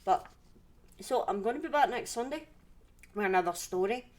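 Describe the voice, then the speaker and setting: A woman's voice: fairly high pitch, lively intonation, moderate pace. Scottish woman chatting casually on a livestream. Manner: rambling, self-interrupting, conversational. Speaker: livestream host and storyteller. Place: indoors at home.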